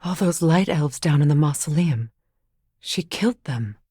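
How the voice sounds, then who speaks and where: A woman playing a composed, grave goddess and warrior companion in a video game, speaking in measured, clipped remarks. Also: deep voice, lively intonation, mellow, breathy edge, no room sound, studio quality, exaggerated voice. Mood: somber, reflective.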